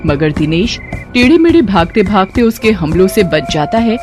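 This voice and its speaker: A woman's voice: mid-range voice, very animated, normal speed, music in the background. A woman delivering scripted narration for a horror story.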